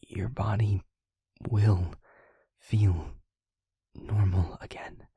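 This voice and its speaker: Gentle male vampire, played by a man, low and slow, soothing a partner in an intimate audio roleplay. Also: steady tone, dry recording, highs cut off, heavily acted. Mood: gentle, reassuring. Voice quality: breathy, husky, near-whispering. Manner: halting, deliberate, reassuring.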